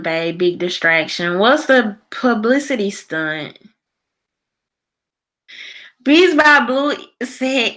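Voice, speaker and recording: Bright-voiced American woman, unhurried livestream host. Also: on the low side. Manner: colloquial, conversational, opinionated, emphatic. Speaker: live show host. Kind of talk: casual livestream chat, reading and answering viewer comments.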